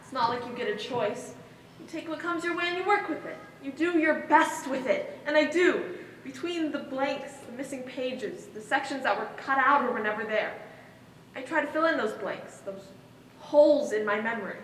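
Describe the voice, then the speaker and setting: High-voiced troubled woman played by an actress, echoey. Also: moderate pace, fairly bright tone. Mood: troubled, reflective. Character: troubled, bewildered woman losing her memory. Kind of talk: stage play monologue. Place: stage in a large hall, distant mic. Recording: echoey hall from far off, noisy.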